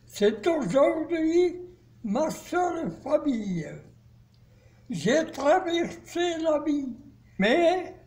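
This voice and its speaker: Frail centenarian man, very slow. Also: extremely high pitch, darkish tone. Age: over 100. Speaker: care home resident. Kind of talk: spoken testimony. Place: nursing home.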